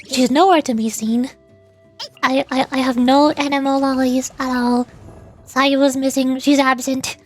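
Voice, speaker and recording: A woman hosting a casual gaming livestream, chatting in an animated, playfully whiny way. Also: sits high. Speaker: VTuber livestream host.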